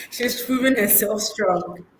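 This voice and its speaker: A woman's voice: on the high side, slow pace. Upbeat woman hosting a webinar. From Ghana. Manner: upbeat, repeats key points, laughs easily. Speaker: webinar host. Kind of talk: live webinar moderation. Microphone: online call mic. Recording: echoey room, faint noise.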